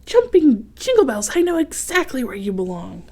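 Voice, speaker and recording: A woman's voice: on the high side, big pitch swings, normal speed, dark tone. A woman voicing a small male Christmas ornament in a storybook, emotional and earnest, with a dramatic, plaintive delivery. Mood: excited.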